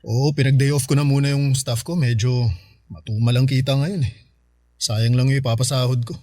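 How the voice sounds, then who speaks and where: An actor playing a beleaguered, earnest husband with a Filipino accent in a radio drama. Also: male voice, medium pitch, steady tone, moderate pace, mellow, dry recording, clean studio sound, close to an everyday voice. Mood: downcast. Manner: earnest, strained, hesitant when asking. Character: Marlon, beleaguered husband and uncle short of money.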